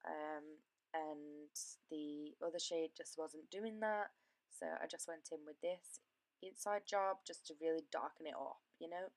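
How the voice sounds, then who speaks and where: A woman with a slightly hoarse voice from a sore throat, chatting casually through a makeup tutorial voice-over with lots of fillers. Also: deep voice, fairly even intonation, a little slow, no room sound. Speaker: beauty vlogger.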